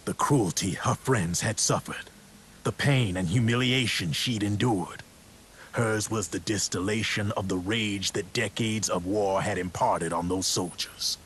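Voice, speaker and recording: Game role: ominous, sardonic military informant, very low voice, deliberate dramatic delivery, faint hiss. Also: male voice, a bit bright. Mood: grim, ominous. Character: male military contact recounting a grim war backstory. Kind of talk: dramatic video game storytelling.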